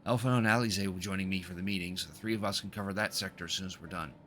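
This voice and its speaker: A man's voice: deep voice, steady tone, racing, fairly bright tone, slight background noise. A man livestreaming a game, reading its dialogue aloud with casual, slangy asides. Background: video game music.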